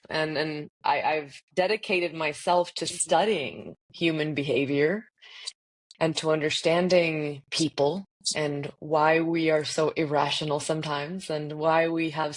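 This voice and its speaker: A woman in her thirties, raised in Spain and the US, a guest in an interview, talking animatedly and laughing often. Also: low voice. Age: 30s. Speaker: leadership coach guest. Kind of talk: casual interview.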